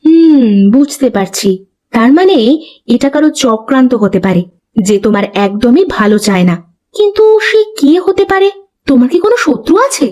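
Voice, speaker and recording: A woman voicing a concerned, shrewd and devout village fisherman's wife in an animated folk tale, expressive and caring. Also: high-pitched, normal speed. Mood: suspicious. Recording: music behind the voice.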